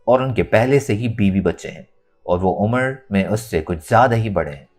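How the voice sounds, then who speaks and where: A man narrating a scripted novel in a dramatic, expressive storytelling manner. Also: fairly low pitch. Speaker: audiobook narrator.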